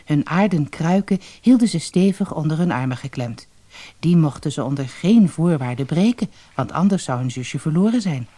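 Husky, mellow fairy-tale narrator with lively intonation. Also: mid-range voice, normal speed. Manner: expressive storytelling, acting out dialogue. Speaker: storyteller, narrator.

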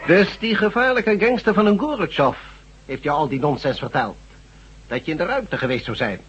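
A man acting in a radio drama as a smug, menacing god posing as a doctor, deliberate and condescending. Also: a little high, expressive, fairly bright tone, highs cut off, faint music behind. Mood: probing, condescending.

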